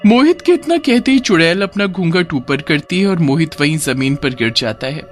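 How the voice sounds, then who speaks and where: A man's voice: on the high side, animated, moderate pace, faint music behind. A man reading scripted dramatic narration as the narrator of a moral story.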